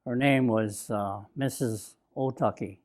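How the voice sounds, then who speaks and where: A man in his nineties from Bainbridge Island, Washington, telling his own memories in an oral history interview, slow and reflective, halting with fillers and restarts. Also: mid-range voice, darkish tone. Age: 90s. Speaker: interviewee, WWII-era Japanese American. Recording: interview recording.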